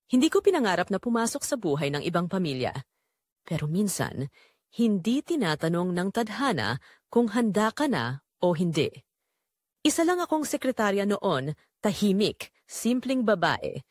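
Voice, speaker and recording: A woman playing a remorseful secretary and mistress, narrating her forbidden love in an expressive, confessional audio drama style. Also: medium pitch, moderate pace, no echo, clean studio sound. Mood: wistful, guilty.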